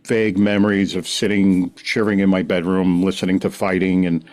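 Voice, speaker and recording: A man's voice: sits low, flat delivery, brisk, darkish tone. A man, a podcast guest telling his story in rambling, self-interrupting casual talk. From New York City, the Bronx. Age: 60s. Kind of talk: casual conversation.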